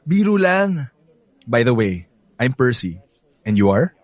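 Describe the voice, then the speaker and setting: Cocky Filipino playboy, animated pitch. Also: male voice, takes it slowly, dry recording. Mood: teasing, boastful. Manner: boastful, teasing, code-switches into English. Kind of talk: Filipino radio drama acting.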